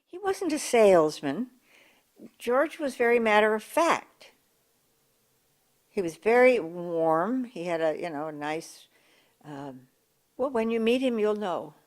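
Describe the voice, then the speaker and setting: An older woman with a big voice, recalling local government history in an oral history interview, pausing and restarting as she searches for words. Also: medium pitch, sing-song. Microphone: table mic in front of a coffee pot.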